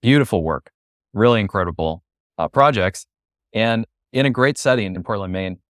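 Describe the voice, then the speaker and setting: A man's voice: fairly low pitch, lively intonation, normal speed, no room sound, studio quality. A man in his thirties hosting a webinar, presenting and interviewing a guest. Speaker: interview host.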